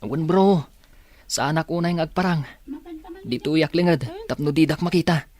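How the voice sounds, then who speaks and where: A man's voice: fairly high pitch, moderate pace, darkish tone, lightly acted. A man acting a lovesick, anxious young male student in an Ilocano radio drama. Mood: pleading, nervous.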